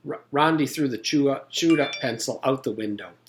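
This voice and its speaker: A man's voice: medium pitch, normal speed, a little hiss or hum. Man reading a children's storybook aloud. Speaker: teacher reading aloud to pupils. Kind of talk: storybook narration, read aloud.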